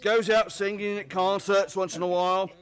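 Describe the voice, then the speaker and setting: A man's voice: sits high, steady tone, moderate pace, natural voice. An actor playing a friendly, folksy Cockney pub barman in a radio play. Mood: chatty, friendly. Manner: chatty, folksy, familiar.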